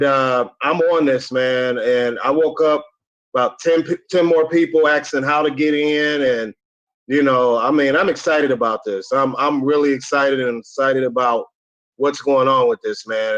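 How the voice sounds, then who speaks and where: A man on a live call, talking in an excited and rambling way though he sounds short on sleep. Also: medium pitch, normal speed. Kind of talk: casual live-stream chat. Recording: dull lo-fi audio.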